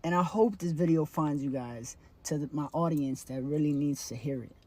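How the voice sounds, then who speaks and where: A woman's voice: sits low, normal speed, a little mellow. Earnest young woman sharing her story. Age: 20s. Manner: earnest, plain-spoken, casual fillers. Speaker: vlogger, licensed funeral director. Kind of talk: personal testimony.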